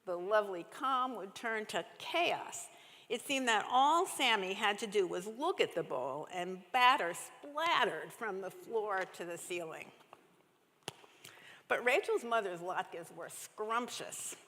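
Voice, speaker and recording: A woman's voice: mid-range voice, expressive, takes it slowly, bright, forceful tone, slight background noise. A woman reading a children's story aloud expressively in a large, reverberant church. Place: large church sanctuary, indoors. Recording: echoey church hall, long reverb.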